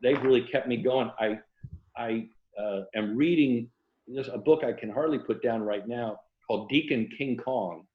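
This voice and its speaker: A man's voice: mid-range voice, normal speed. An older man from Florida with an American accent, telling anecdotes casually as an interview guest over a video call. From Tampa, Florida, United States. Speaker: interview guest, writer and former journalist. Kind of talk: casual conversational storytelling. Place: indoor room, remote video call. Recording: roomy, dull video call audio.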